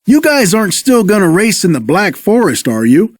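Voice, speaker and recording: Excitable, easily spooked cartoon-style tow truck, played by a man, folksy storybook character, high and very animated. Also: moderate pace, dry recording, studio quality. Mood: worried. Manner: folksy, exclaiming. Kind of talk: read-along children's story character.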